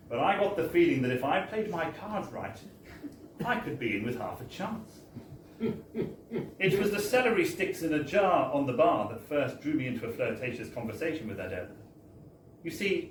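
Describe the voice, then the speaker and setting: Male live storyteller, fairly high voice, wry theatrical comic reading, distant roomy sound with faint noise. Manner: wry, mock-formal, theatrical delivery. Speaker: reader of a humorous book. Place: bar, indoors, at a live book launch.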